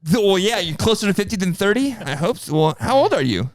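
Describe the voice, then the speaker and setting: A man's voice: high voice, very animated, brisk, dry recording. Forty-something guy on a podcast, dry close sound, swears a lot, jokey. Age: 40s. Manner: blunt, joking, swears freely. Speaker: podcast co-host. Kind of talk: casual chat.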